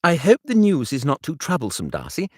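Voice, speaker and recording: A man doing a cheerful young gentleman, raised pitch. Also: wildly swooping pitch, a little fast, no room sound. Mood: teasing.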